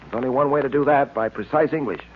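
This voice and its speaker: A man's voice: medium pitch, fast talker, natural voice. A man playing an upright, earnest police detective in old radio drama style, speaking in a firm, clipped, fatherly way. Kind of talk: 1940s radio acting. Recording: tinny noisy old radio recording.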